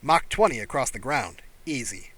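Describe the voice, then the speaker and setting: A man's voice: mid-range voice, normal speed, no echo. A man voicing an exuberant, breezy female astronaut who is proud of her ship, in an audiobook. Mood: proud, amused. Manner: excitable, breezy. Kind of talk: audiobook character voice.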